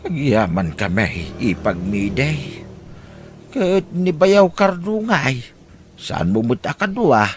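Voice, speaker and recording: A man's voice: medium pitch, very animated, takes it slowly. A man playing a humble older farmer and grandfather, talking deferentially in an audio drama. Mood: humble, friendly. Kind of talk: conversational audio drama acting. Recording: clearly noisy background.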